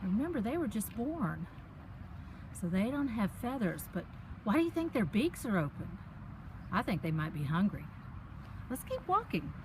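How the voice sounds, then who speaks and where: A woman's voice: medium pitch, sing-song, moderate pace, pauses often. A woman reads a picture book aloud to children while walking outside in a park, adding chatty explanations and questions for her young listeners. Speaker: picture book reader and host. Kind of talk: reading a children's story aloud with chatty asides. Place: outdoors, walking along a park path. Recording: noisy outdoor ambience while walking.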